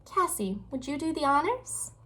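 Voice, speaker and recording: A woman's voice: sits high, big pitch swings, moderate pace, a bit bright, voice pushed up. A woman voicing a welcoming storybook librarian who addresses children in a formal announcing manner. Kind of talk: storybook character voice.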